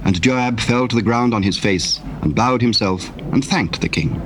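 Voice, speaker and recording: A man with a husky voice narrating scripture in a solemn, formal storytelling style with archaic language. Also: on the low side, moderate pace, background noise.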